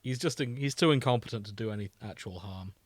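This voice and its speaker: A man's voice: fairly low pitch, quick pace, no room sound. A man co-hosting a podcast in casual, unscripted chat, with an easygoing conversational way of talking. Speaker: podcast co-host.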